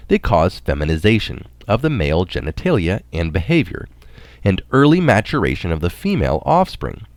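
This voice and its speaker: A man's voice: low voice, no room sound. A man narrating a scripted science article, reading it aloud.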